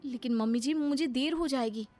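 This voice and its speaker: Cartoon young daughter-in-law, female actor: high voice, polite, pleading, harried and eager to please. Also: brisk, a little mellow, lightly acted. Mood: apologetic, pleading. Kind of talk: Hindi moral-story cartoon dub.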